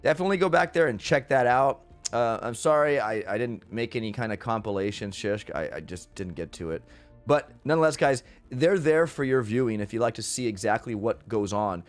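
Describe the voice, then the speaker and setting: A man's voice: mid-range voice, animated, a little fast, faint music behind. A middle-aged man with a head cold and a stuffy nose, hosting a casual live stream in an upbeat, rambling way with lots of fillers and restarts. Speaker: streamer host.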